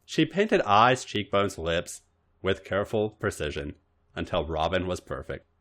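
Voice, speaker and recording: A man reading scripted fiction aloud as an expressive story narrator. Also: low voice, measured pace. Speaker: podcast story reader.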